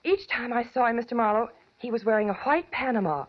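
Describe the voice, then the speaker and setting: Worried well-bred young wife played by a woman, slightly high voice, earnest and hesitant, muffled vintage radio drama sound. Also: fast talker, natural voice. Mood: anxious, earnest. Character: anxious, refined young wife seeking a detective's help. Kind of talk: 1940s radio drama acting. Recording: dull, lo-fi 1940s radio recording.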